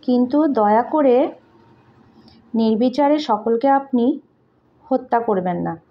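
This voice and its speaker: A woman with a Bengali accent reading a moral story aloud to children in simple, deliberate phrases, like a teacher. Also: fairly high pitch. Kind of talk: slow read-aloud moral story with translation. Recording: dull lo-fi home recording.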